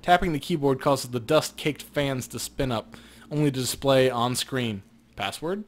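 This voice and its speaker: A man's voice: mid-range voice, normal speed. A man co-hosting a let's play, switching between casual chat and reading game text aloud. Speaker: actor.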